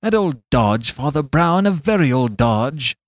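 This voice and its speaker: A man voicing a big master thief who talks in a lower voice, quietly menacing and then loudly mocking. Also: lively intonation, normal speed, no echo, clean studio sound. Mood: gloating. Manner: low and menacing, turning boisterous and mocking. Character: great robber, a big bold master thief.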